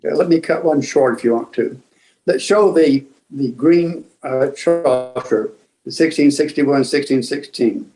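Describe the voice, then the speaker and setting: An older man telling casual anecdotes as a guest book collector, in a rambling, halting way. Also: medium pitch, music in the background, rough recording. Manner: rambling, halting raconteur who acts out remembered exchanges. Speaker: museum founder and book collector, guest storyteller.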